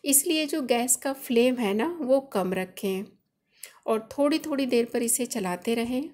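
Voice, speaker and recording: A woman's voice: fairly high pitch, expressive, a little slow, darkish tone. Friendly woman talking through a recipe. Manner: friendly instructive, chatty home-cook explaining. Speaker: cooking channel host. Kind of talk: recipe voice-over narration.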